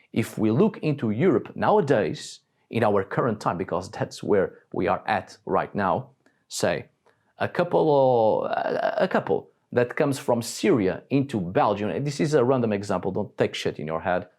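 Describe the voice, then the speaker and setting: A man's voice: fairly low pitch, a little mellow. A man from Portugal giving a blunt, scripted video monologue, swearing now and then. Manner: blunt, swears when annoyed. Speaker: video essayist.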